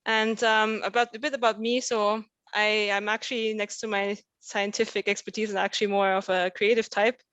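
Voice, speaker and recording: An Austrian-born woman scientist introducing herself as a consultant on a panel, warm and quick to laugh. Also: medium pitch, level tone, no room sound, dull audio. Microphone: video call.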